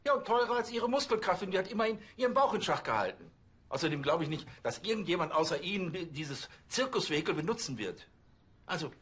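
A man's voice: sits high, sing-song, fast, fairly bright tone, breathy edge, heavily acted. A man playing a fussy, self-important provincial police chief who speechifies pompously and hesitantly in a TV drama. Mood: officious.

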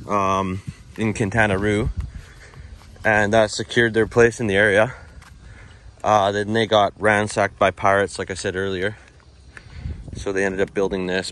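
A man vlogging a casual walking tour outdoors at an old stone fort, chatty and relaxed, thinking aloud with fillers. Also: fairly low pitch, slight background noise. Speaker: travel vlogger.

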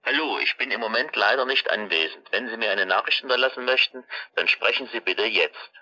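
A man's voice: mid-range voice, brisk, bright, forceful tone, low-quality recording. A man giving a recorded voicemail greeting, played back over a phone.

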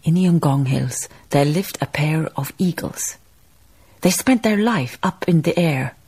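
An actress playing a reflective woman memoirist, narrating a radio drama in a measured, lyrical way, savouring her phrases. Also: low-pitched, animated, a little mellow, breathy edge, heavily acted. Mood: rapt, nostalgic. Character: reflective, poetic woman memoirist recalling colonial farm life.

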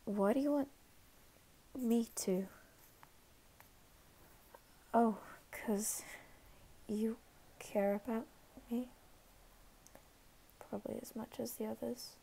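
A woman voicing a flustered, guarded but caring teenage anime boy in an ASMR roleplay, halting and stammering, sometimes whispering. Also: on the high side, vocal fry, dark tone, breathy edge, heavily acted, poor audio. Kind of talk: ASMR roleplay, soft scripted acting.

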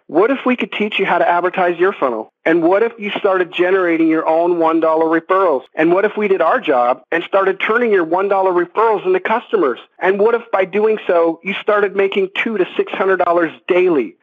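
A man hosting a sales conference call, giving a direct, persuasive scripted pitch with rhetorical questions. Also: breathy edge. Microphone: telephone conference line. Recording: narrow tinny phone-line sound.

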